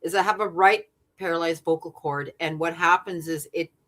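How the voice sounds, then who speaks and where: A songwriter woman from Saskatchewan with a deep voice and a natural vibrato, chatting earnestly as a guest, rambling with fillers and restarts. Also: fairly even intonation, normal speed, a bit bright. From Saskatchewan, Canada. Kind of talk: casual interview chat.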